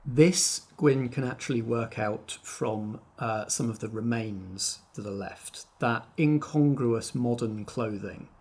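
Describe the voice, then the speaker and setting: A man narrating a tabletop roleplaying game as game master, in a measured, descriptive storytelling manner. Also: mid-range voice, a little mellow, breathy edge. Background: faint background music.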